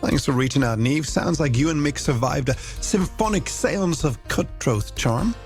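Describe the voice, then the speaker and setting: An Irish man hosts a music radio show in a slightly high voice with a creaky edge. His delivery is scripted and playful, full of wordy, alliterative patter. Faint music plays underneath him. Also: animated, normal speed. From Ireland. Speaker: radio host. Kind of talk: scripted late-night music radio presenting.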